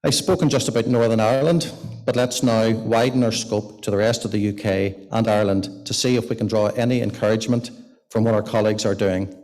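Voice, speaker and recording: Man at a lectern, low voice. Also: steady tone, a little fast, a little mellow. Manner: formal, read from notes at a lectern. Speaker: event host introducing speakers. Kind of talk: prepared speech. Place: long gallery of a parliament building. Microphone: lectern microphone.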